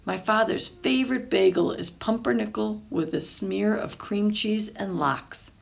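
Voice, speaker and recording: Gravelly-edged woman reading a picture book aloud. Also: mid-range voice, unhurried. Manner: expressive, with explanatory asides. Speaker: storybook reader, teacher.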